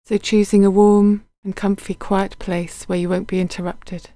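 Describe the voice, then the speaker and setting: Mellow, soothing woman guiding meditation. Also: medium pitch, flat delivery, dry recording. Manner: gentle, unhurried, soothing, with soft phrase endings. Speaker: meditation guide. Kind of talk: guided body scan meditation.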